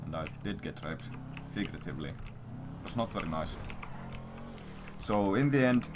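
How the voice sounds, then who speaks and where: Noisy stream audio with keyboard clatter, and a man with a fairly low voice talking casually. He rambles like a streamer chatting to viewers, swearing freely and circling back to repeat his blunt remarks. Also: lively intonation, normal speed. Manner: profane, blunt, repetitive. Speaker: livestreamer.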